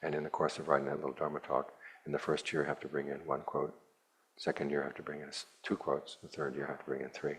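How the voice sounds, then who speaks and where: A man, a Buddhist monk, giving a plainspoken, measured dharma talk to a retreat group with a dry, wry touch. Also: very deep voice, little pitch movement, breathy edge.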